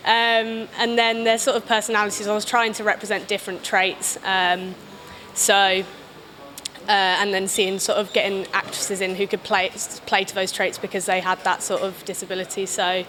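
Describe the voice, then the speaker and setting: A woman's voice: mid-range voice, little pitch movement, normal speed, pushed and bright. A British woman giving casual interview answers as a film director, in a hesitant, rambling way. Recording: clear background noise.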